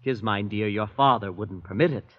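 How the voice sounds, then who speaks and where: An actor playing an earnest, boyish young man in a vintage radio drama, speaking in a halting, sincere way. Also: on the low side, natural voice. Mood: agitated. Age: young adult. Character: earnest lovestruck young American man, increasingly alarmed guest. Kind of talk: 1940s-50s radio drama acting and narration. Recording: dull vintage radio broadcast recording.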